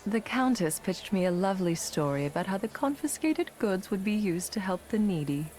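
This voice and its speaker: A woman's voice: medium pitch, lively intonation, moderate pace, a little mellow, close to an everyday voice. An actress playing a shrewd, wry and confident female conspirator in a video game. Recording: clear ambient noise behind voice.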